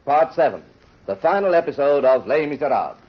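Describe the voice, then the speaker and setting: A man's voice: fairly high pitch, animated, fairly quick, noisy background. A man announcing a programme in a formal 1930s radio style. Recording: dull old radio broadcast recording.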